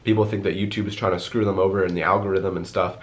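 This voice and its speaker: Stuffy-nosed young man chatting fast. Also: deep voice, level tone. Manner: chatty and rambling, lots of "like" fillers. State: stuffy, congested from a cold. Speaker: YouTuber. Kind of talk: casual Q&A vlog to camera.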